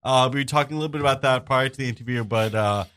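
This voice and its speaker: A man hosts an interview, talking fast and chiming in with easy agreement. His voice sits at an ordinary middle pitch. The sound is dry and close, with no room echo. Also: a bit bright, smooth. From New York. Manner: quick, agreeable, encouraging. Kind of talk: casual interview conversation.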